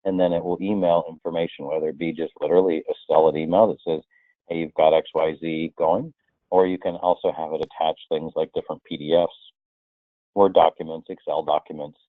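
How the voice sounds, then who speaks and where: A man presenting a software training webinar, explaining slide by slide in a conversational way with hypothetical examples. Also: extremely low pitch, flat delivery, brisk, no room sound. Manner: explanatory, illustrates with hypothetical quotes. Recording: muffled, tinny phone-like line.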